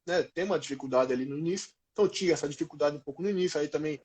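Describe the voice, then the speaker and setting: A Brazilian man in his thirties talks casually in an interview, with a slightly high voice. His Portuguese is informal and full of slang, told like stories to friends. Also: racing, darkish tone. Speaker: former professional footballer being interviewed.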